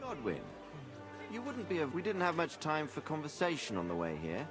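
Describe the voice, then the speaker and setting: A courteous, wry medieval lord in a video game. His measured speech moves through a wide range of pitch, with music clearly behind him. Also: wildly swooping pitch. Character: courteous, fair-minded noble father, gently wry.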